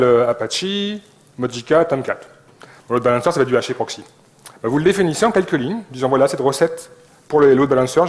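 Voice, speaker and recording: A man giving an informal technical presentation, explaining step by step with short listed examples and some hesitation. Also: mid-range voice. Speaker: conference co-speaker, software developer.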